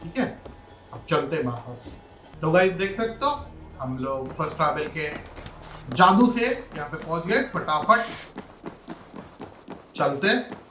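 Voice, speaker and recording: An Indian man commentates casually over a game, dropping in English words. He talks extremely fast in a bright voice, recorded in an echoey room with faint game sound. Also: fairly high pitch, expressive, a little hiss or hum. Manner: mixes English words into speech. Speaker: gaming YouTuber.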